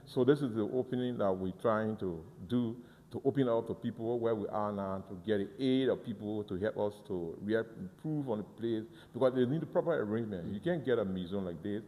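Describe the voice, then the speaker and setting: A man explains a museum in an earnest, halting way, often stumbling over and restarting his words. His voice is low-pitched and mellow. A long reverb from the museum hall trails behind him. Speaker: museum guide. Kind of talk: guided tour interview.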